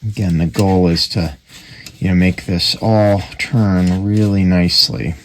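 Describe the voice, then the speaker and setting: A man with a very low voice and a heavy fry talks through a hands-on repair as he works. He is casual and matter-of-fact, thinking aloud in an offhand way. Clear background noise and clicks sit behind him. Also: steady tone, a little slow. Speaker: radio repair hobbyist and video host.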